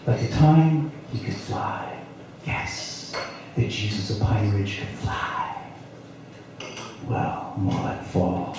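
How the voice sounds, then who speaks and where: A man from South Dakota tells a spoken-word story with a dark, mellow voice and a wry, dramatic touch, moving between loud lines and hushed ones. He is heard from some distance in a very echoey room. Faint noise sits behind him. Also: medium pitch. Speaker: storyteller performer. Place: live performance venue.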